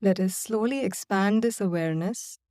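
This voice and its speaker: A woman guiding a body-scan and breathing meditation in slow, calm, soothing instructions with long pauses. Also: medium pitch, no echo, studio quality. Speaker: meditation guide. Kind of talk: guided meditation.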